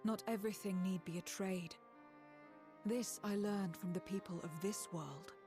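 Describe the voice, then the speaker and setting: A woman acting as a solemn, earnest figure in a fantasy game, speaking in a measured, formal, reflective way. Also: medium pitch, steady tone, natural voice. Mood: solemn, resolute. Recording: game music behind the voice.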